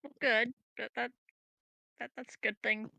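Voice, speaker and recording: A woman's voice: a little high, level tone, unhurried, gravelly, pushed and bright, no room sound. A woman chatting casually as a player in a tabletop game.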